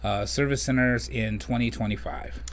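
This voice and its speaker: A man hosting a podcast, asking questions in a relaxed, casual way. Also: mid-range voice, moderate pace, a little hiss or hum. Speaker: podcast host. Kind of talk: casual interview chat.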